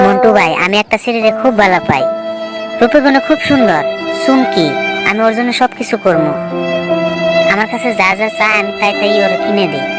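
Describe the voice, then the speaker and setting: Woman voicing a naive, lovesick young man, loud music behind. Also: medium pitch, lightly acted, poor audio. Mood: cheerful, smitten. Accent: eastern Bengali dialect. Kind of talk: cartoon voice acting.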